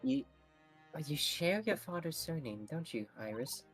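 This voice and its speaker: An earnest, inquisitive young character played by an amateur in a fan-dubbed game. The delivery is lively in pitch and formally polite, with music playing underneath. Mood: curious. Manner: formal, measured wording. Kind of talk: fan dub reading of game dialogue.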